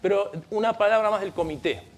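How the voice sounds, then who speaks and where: A man's voice: high-pitched, brisk, slight background noise. A middle-aged man with an Argentine accent, speaking on a conference panel in an earnest, explanatory way, often restarting his sentences. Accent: Argentine Spanish. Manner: earnest, explanatory, with frequent restarts and light asides. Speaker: panel speaker at a legal training event. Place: conference room.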